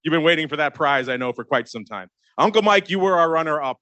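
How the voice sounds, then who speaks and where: A man's voice: fairly high pitch, expressive, quick pace, bright, forceful tone, no room sound. A middle-aged man hosting a live options podcast, joking and teasing his co-hosts with nicknames. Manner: jokey, teasing, gives guests nicknames. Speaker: podcast host. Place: crowded live event room.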